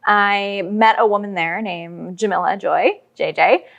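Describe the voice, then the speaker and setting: A woman's voice: medium pitch, unhurried, vocal fry, fairly bright tone, highs cut off. A young adult woman chatting casually as an interview guest, telling her own story. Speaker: interview guest, podcast creator.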